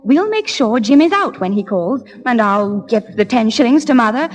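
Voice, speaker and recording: An actress playing a bossy, sharp-tongued big sister in a 1960s radio sitcom. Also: female voice, fairly high pitch, lively intonation, normal speed, close to an everyday voice. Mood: exasperated, scheming.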